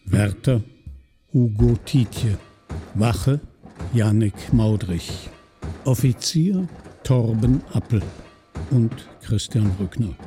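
Very dark mellow male voice, solemn radio drama announcer, slow delivery, faint music. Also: mid-range voice. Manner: solemn, measured, emphatic pauses. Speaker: narrator and announcer. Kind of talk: scripted radio drama narration.

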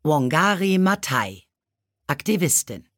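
A man reading scripted biographical stories for children as an audiobook narrator, measured and clearly articulated. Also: a little high, very animated, fairly bright tone, no room sound, clean studio sound.

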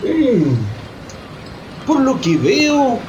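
A man's voice: very high-pitched, big pitch swings, drawn-out words, darkish tone, dull audio, slight background noise, exaggerated voice. A man playing a suspicious Brazilian customs officer in a radio drama, questioning insistently and probingly.

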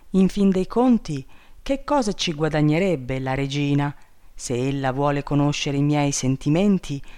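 A storybook reader voicing a young imprisoned princess in a plaintive, theatrical lament, talking slowly. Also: medium pitch, darkish tone, clear, resonant tone, lightly acted. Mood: wary. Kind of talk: storybook reading.

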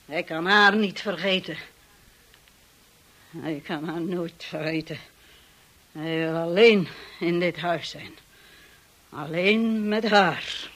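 An actress playing a hostile, obsessive housekeeper in a radio drama, speaking with slow, menacing contempt. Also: female voice, deep voice, fairly bright tone, slightly rough, exaggerated voice. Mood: obsessive, bitter. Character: hostile, obsessive, grieving housekeeper, a grown woman.

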